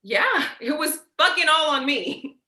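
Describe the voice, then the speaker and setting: Sister role, female actor: defensive, emotional, sharp high voice, stage drama in a roomy space. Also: animated, measured pace, exaggerated voice. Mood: exasperated. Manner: emotional, confrontational, sarcastic. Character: stressed, defensive, foul-mouthed sister carrying her family. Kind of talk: staged reading of a play. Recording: echoey room.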